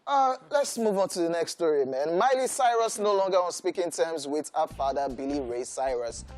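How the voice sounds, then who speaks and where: A man's voice: on the high side, expressive, moderate pace, darkish tone, no echo, soft background music. A Nigerian man co-hosting an entertainment show, chatting with plenty of fillers and repeated words, then reading out a news item.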